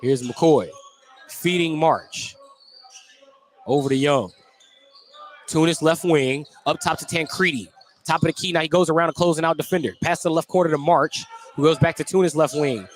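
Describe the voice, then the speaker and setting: A man's voice: on the high side, normal speed, long pauses. A man doing live radio play-by-play of a basketball game in clipped, descriptive running calls. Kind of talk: live radio sports commentary.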